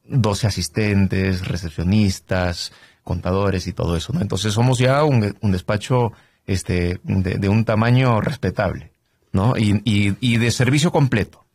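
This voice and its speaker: A middle-aged man from Canada talks through his work as a trial lawyer, stopping often on fillers and tag questions. His voice sits low and husky, and the sound is dry and close. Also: measured pace, darkish tone, no echo. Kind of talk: conversational interview.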